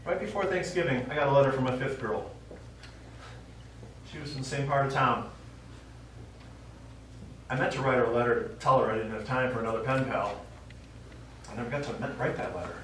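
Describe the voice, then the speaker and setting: An actor playing an elderly, stubborn widower and war veteran, reminiscing in a wry, rambling way in a stage play. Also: male voice, medium pitch, moderate pace, pauses often, fairly bright tone, natural voice. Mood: nostalgic, wry. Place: small theatre stage, recorded from the audience. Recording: echoey small theatre, distant mic, faint noise.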